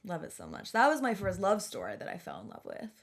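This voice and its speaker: A woman with a middling pitch talks casually as a podcast co-host. She is wry and emphatic, gushing about favorite things in slangy, off-the-cuff phrases. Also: huge pitch swings, fast talker, vocal fry. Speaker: podcast co-host and comedy performer. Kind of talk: casual podcast chat about horror films.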